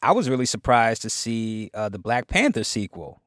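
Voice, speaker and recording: A man's voice: medium pitch, sing-song, moderate pace, a bit bright, no echo, clean studio sound. A man hosting a radio show, chatting playfully with a guest and keeping his reactions short. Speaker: radio host. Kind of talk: casual radio chat.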